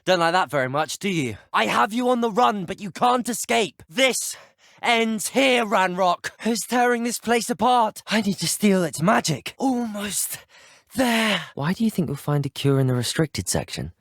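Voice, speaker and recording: An actor playing an earnest, brave, well-spoken teenage student wizard in a video game. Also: male voice, medium pitch, expressive, fast talker, hardly any pauses, dry recording, clean studio sound, close to an everyday voice. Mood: defiant, urgent. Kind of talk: video game dialogue clips.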